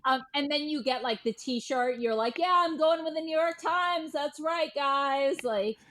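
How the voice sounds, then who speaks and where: A woman with a fairly high voice talks casually as an interview guest. Her delivery is very informal and colloquial, full of "like" and little quoted reactions. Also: measured pace, a bit bright. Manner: informal, peppers speech with "like", animatedly quotes others. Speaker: journalist and author, podcast guest. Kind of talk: casual chat.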